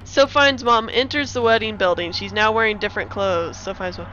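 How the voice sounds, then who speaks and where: Woman, comic screenplay narration, bright sharp tone, casual self-interrupting asides, dull lo-fi mic. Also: medium pitch, fairly quick, slight background noise. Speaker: streamer reading a screenplay aloud.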